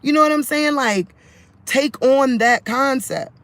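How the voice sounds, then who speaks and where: A man with a fairly high voice speaks straight into his phone for a religious vlog. He rambles in a loose, conversational way, often breaking off and restarting his sentences. Phone mic. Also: unhurried, a bit bright, no echo.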